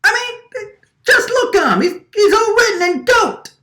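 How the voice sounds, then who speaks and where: A woman plays a brash vacuum-faced wiseguy from a radio drama, pitched high and swinging wildly. He is agitated and indignant, stammering and hammering on his phrases. The recording sounds like an echoey room. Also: sing-song, moderate pace, sharp tone, heavily acted. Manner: agitated, stammering, repeating lines emphatically. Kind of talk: radio drama line takes, gangster-movie wiseguy delivery.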